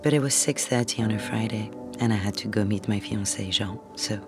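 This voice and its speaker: A low-voiced, polite secretary. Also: female voice, fairly quick. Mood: reflective. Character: polite, fond French office secretary, engaged young woman. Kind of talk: audio drama acting and first-person narration. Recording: music behind the voice.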